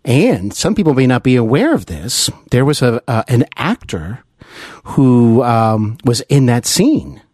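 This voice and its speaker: A man's voice: mid-range voice, big pitch swings, unhurried, a little mellow, slightly rough, dry recording. An American man hosting a conversational interview in an earnest, admiring manner, close to the microphone. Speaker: interview host. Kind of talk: conversational radio-style interview.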